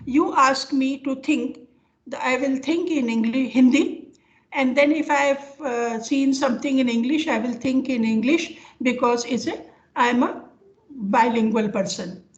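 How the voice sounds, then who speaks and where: An older woman with an Indian accent giving an informal academic lecture over a video call, speaking haltingly as she explains with examples. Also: high-pitched. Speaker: professor giving an online lecture. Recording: dull, lo-fi online call audio.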